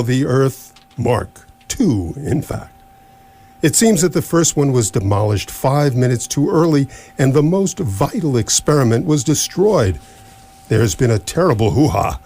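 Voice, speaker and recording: An actor playing an elderly, courtly alien planet designer in a comic radio drama, husky and rambling with understated, old-fashioned turns of phrase. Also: male voice, mid-range voice, lively intonation, unhurried, warm tone. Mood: matter-of-fact.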